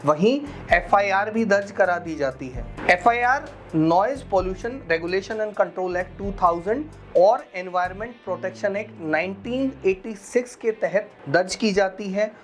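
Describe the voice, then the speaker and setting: An Indian woman presenting a scripted legal explainer, instructing viewers and mixing in English terms. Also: mid-range voice. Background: background music.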